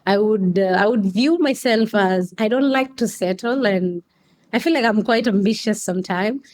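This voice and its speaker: A young adult woman from Kenya telling a podcast host about her path into coffee, speaking slowly with fillers and restarts. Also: medium pitch, a little mellow. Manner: unhurried, frequent restarts and fillers. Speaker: guest, coffee customer experience manager. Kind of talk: casual interview answers.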